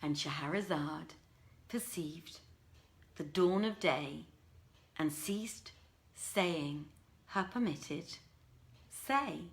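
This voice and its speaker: A woman with an expressive, courteous manner, working as a live story reader with theatrical, carefully phrased delivery. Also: sits low, drawn-out words, long pauses. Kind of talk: theatrical storybook reading and informal livestream talk.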